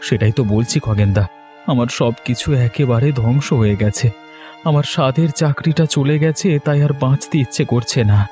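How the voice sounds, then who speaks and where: A man's voice: medium pitch, moderate pace, warm tone, lightly acted. A man voicing an emotional, jobless village son-in-law in a cartoon story. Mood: despairing. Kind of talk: Bengali children's cartoon story dialogue. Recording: music playing under the voice.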